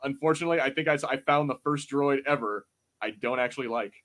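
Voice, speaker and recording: A man in his twenties co-hosting a podcast, chatting casually in a rambling, animated, sweary way. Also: medium pitch, fast. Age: 20s. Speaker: podcast host. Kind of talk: casual panel chat reviewing a show.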